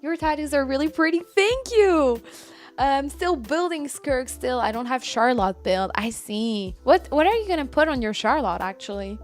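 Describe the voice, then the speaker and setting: A young woman in her early twenties with a fairly high voice, livestreaming a game. She talks casually and chattily, answering viewers, with faint music behind her. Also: animated, normal speed. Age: early 20s. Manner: chatty, reading and answering viewer messages aloud. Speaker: game streamer.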